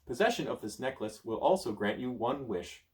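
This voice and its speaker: A man voicing a gentle, polite male winged horse while reading a storybook. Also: mid-range voice, expressive, normal speed, lightly acted.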